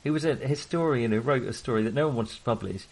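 A man's voice: medium pitch, fast talker. A man co-hosting a podcast, chatting casually about films in a mild, conciliatory, hedging manner. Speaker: podcast co-host. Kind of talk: casual film chat.

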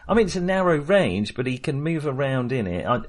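A man with an average-pitched voice talks casually about films as a podcast co-host. He rambles a little and hesitates, working out his thoughts as he speaks. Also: very animated, fairly quick. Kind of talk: casual film discussion.

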